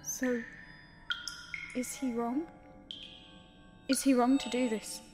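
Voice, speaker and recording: A high, echoing voice of an enslaved maid, played by a woman, plaintive yet growing defiant. She speaks haltingly and earnestly, as in a horror audio drama, with music clearly behind her. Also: little pitch movement, a little mellow. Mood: plaintive questioning. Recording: echoey voice under music.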